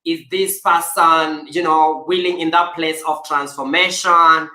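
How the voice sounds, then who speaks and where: Bright, motivational male life coach. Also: a little high, flat delivery, measured pace, echoey room, poor audio. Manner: earnest, motivational, with emphatic exhortations. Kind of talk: coaching conversation.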